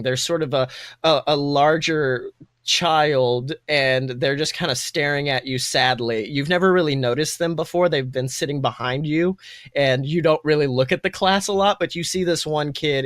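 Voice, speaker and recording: A man's voice: on the high side, fairly bright tone, no room sound, studio quality. A man improvising tabletop game narration as game master in an informal, conversational storytelling manner. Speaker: game master and podcast host.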